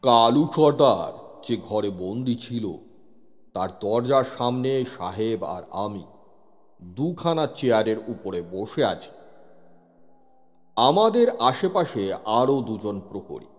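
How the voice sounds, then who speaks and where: A man's voice: medium pitch, sing-song, slow pace. A man narrating a scripted horror story in the first person, with a suspenseful, dramatic delivery. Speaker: narrator, telling the story as a police inspector. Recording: long reverb with music underneath. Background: music.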